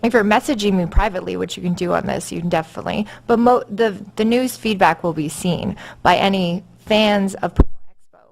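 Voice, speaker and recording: Woman presenter, chatty, lots of fillers and laughs, mid-pitched voice. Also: a little fast, creaky. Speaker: seminar presenter. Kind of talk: conference session talk.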